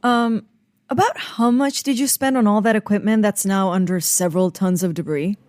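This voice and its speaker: A woman's voice: mid-range voice, normal speed, darkish tone, natural voice. A woman playing an irreverent, sardonic, teasing spy who speaks in quips in an audio comedy drama. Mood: incredulous, alarmed. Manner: sardonic, quippy. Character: wisecracking female ex-convict spy, irreverent, teasing.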